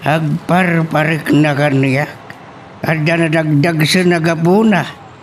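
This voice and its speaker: A man's voice: on the high side, fairly even intonation, takes it slowly, a little hiss or hum. A man with an Ilocano accent playing a father advising his grown child in an amateur audio drama. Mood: grave, concerned. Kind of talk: amateur Ilocano radio-style drama acting.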